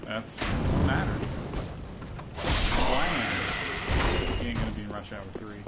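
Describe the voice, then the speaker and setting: A man's voice: on the low side, slow. A man giving casual, jokey and profane commentary while hosting a video game stream. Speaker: host playing and explaining the game. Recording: loud game music behind voice. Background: loud video game music.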